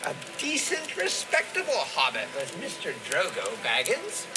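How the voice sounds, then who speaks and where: An actor playing a talkative, folksy old hobbit gardener in an audio play, rambling and gossipy. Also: male voice, high voice, huge pitch swings, measured pace, heavy background noise, heavily acted. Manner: rambling, gossipy, rustic phrasing. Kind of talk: dramatized audio play dialogue.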